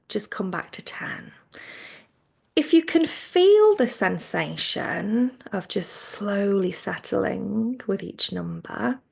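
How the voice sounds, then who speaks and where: Woman, relaxation teacher, very slow pace, noticeable vocal fry, gentle soothing instruction, soft phrase endings. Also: mid-range voice. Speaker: yoga nidra relaxation teacher. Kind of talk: guided relaxation meditation.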